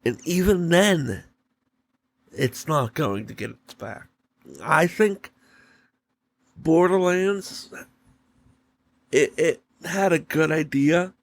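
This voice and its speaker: A man hosting a solo podcast, giving opinion commentary in deliberate, halting short phrases. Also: a little high. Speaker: podcast host.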